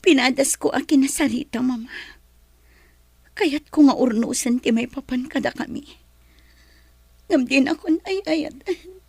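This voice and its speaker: A regretful young woman from a radio drama, with a high voice that crackles with creak and breath. She pleads tearfully in an Ilocano Filipino accent, sounding husky and heartbroken. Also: a little slow, pauses often, darkish tone, no room sound, heavily acted. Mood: tearful, hurt.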